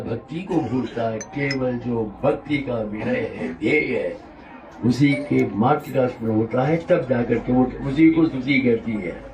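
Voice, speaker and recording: A man with a sore throat gives a slow, reflective devotional discourse, wandering between points and explaining his terms. His voice sits at an ordinary pitch. It was recorded from a distance in an echoey hall. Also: lo-fi sound. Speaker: spiritual teacher. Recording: roomy hall audio, mic at a distance.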